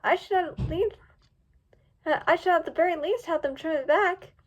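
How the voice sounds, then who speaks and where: A woman's voice: very high-pitched, moderate pace, pitched above the performer's own voice. A woman voicing an eager, easily distracted young female pony in a storybook reading. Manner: eager, pleading, bubbly.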